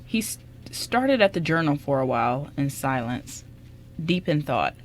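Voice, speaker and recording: A woman's voice: deep voice, very animated, unhurried. A woman reading scripted narration for an amateur radio drama as its narrator.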